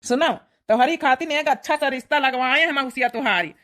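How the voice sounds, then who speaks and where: A woman's voice: high voice, fairly quick, fairly bright tone. A woman with an Awadhi accent voicing a trusting, affectionate middle-aged village father in a comic cartoon. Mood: fatherly. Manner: affectionate, earnest.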